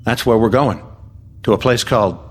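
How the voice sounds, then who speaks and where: A man playing a steady, practical spaceship pilot in a radio drama. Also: mid-range voice, normal speed, close to an everyday voice. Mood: calm wonder.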